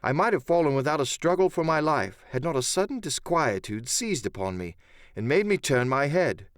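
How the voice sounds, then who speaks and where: A man's voice: fairly high pitch, sing-song, moderate pace, no room sound, clean studio sound. A man giving a dramatic first-person narration of an adventure story as an audiobook narrator.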